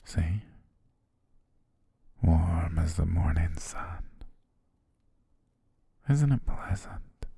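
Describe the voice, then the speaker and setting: A man voicing a doting, possessive butler in a gentle, coaxing ASMR roleplay, speaking intimately close to the microphone. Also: lively intonation, no echo, heavily acted. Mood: soothing, coaxing. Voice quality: very deep, breathy, husky. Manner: slow, gentle, hypnotically coaxing, with pet names. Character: possessive, doting male yandere butler, soothing and controlling. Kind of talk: ASMR roleplay, intimate half-whispered monologue.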